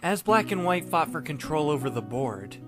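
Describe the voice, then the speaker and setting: A man narrating a fan audiobook in the first person as a wry, laid-back high school student, deadpan and musing. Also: moderate pace. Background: music, plucked guitar.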